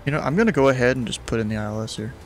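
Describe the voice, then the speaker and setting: A man's voice: mid-range voice, racing, soft background music. A young man hosting a live stream from his home room, talking bluntly and casually with lots of slang. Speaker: streamer host.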